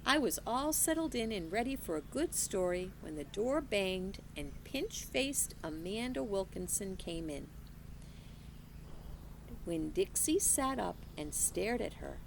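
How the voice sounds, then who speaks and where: A woman teacher reading a children's novel aloud in an expressive, unhurried storytelling manner. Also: mid-range voice, creaky, slight background noise. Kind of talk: children's book read-aloud.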